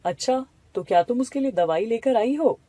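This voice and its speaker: Woman voicing a mellow, practical uncle. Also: fairly high pitch, expressive, normal speed, dry recording. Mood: matter-of-fact. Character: calm, practical uncle, head of household. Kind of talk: animated moral story dialogue.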